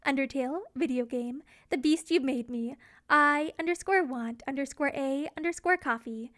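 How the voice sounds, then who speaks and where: A woman's voice: sits high, normal speed, fairly bright tone. A woman reading fan fiction as a narrator, in a scripted, dramatic storytelling style.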